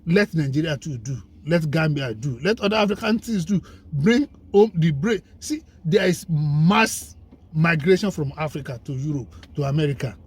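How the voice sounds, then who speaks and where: A man's voice: on the high side, animated, moderate pace, darkish tone, husky. A man speaking as a guest in a conversation, emphatic and persuasive, building his points with lists and rhetorical questions. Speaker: guest commentator. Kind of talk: conversational discussion.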